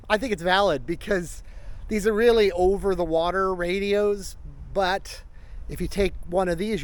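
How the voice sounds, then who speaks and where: A man in his fifties giving a casual hands-on gadget review, plain-spoken and thinking out loud as he goes. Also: sits high. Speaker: product reviewer, vlogger. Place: living room and outdoors in a backyard by a canal. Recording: clear background noise, ambient sound.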